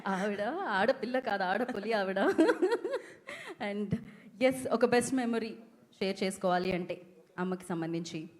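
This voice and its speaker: A woman's voice: mid-range voice, unhurried, pauses often. A woman with a Telugu Indian accent hosting a casual stage interview, chatty and prompting, mixing Telugu with English words. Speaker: event host. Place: film promotion event.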